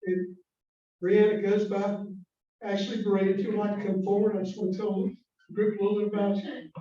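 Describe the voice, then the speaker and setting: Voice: mid-range voice, flat delivery, normal speed, mellow. A club member reading a formal scholarship announcement from prepared notes. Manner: reads from prepared notes, stumbles on a name. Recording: echoey hall, distant and dull.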